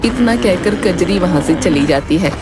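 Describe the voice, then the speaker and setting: A woman narrates a cartoon story from a script as its storyteller. Music runs clearly behind her voice. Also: on the low side, lively intonation, moderate pace.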